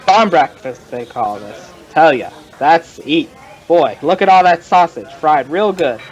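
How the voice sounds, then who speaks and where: An actor playing a folksy, rambling male informant who tells his story in colloquial, drawn-out fashion, in old-time radio crime drama style. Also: fairly high pitch, animated, breathy edge, muffled recording. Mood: hearty, chatty.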